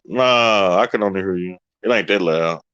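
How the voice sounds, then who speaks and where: A man's voice: deep voice, moderate pace, a bit bright, smooth, no echo, dull audio. A man from Texas with a Southern accent, talking laid-back and slangy as co-host of a live-stream chat.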